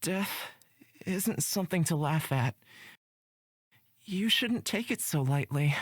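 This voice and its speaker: A woman voices a solemn, weary man from a fantasy visual novel. He speaks slowly in a low, husky voice, measured and serious. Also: expressive, no echo, clean studio sound, exaggerated voice. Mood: grave. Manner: slow, grave. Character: solemn, weary, gentle-principled male fantasy companion. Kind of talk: visual novel character reading.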